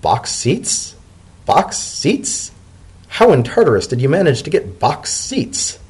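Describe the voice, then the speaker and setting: A man voicing an anxious, affectionate male pony in a story reading, speaking in a hesitant, earnest and excitable way. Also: a little high, slow, slightly rough, pitched above the performer's own voice, exaggerated voice. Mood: astonished.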